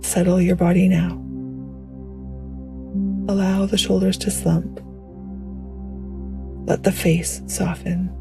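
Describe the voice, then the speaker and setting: A woman guiding a meditation in a gentle, hushed, poetic style, with a soft, breathy voice that often nears a whisper. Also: fairly low pitch, little pitch movement, over music. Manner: gentle, hushed, unhurried, soothing. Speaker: meditation guide. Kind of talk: slow poetic guided meditation narration.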